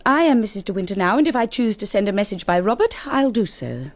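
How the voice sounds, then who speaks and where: An actress playing a well-spoken young English wife in a radio drama, with an emotive delivery. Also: female voice, mid-range voice, very animated, quick pace, no room sound. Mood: firm, imperious. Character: young English wife asserting herself as mistress of house.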